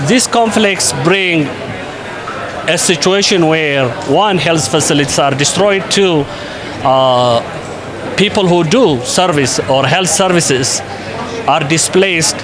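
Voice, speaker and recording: A man from Ethiopia, an invited conference speaker, answering interview questions in a measured way with frequent pauses and fillers. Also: on the high side. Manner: measured, with many hesitations and fillers.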